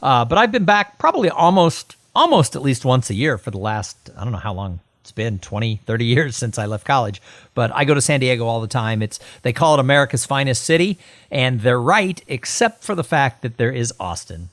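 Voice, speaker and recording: A man's voice: medium pitch, lively intonation, a little fast, fairly bright tone, dry recording. A middle-aged man hosting a podcast interview, chatty and enthusiastic, also reading a sponsor message. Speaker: podcast host.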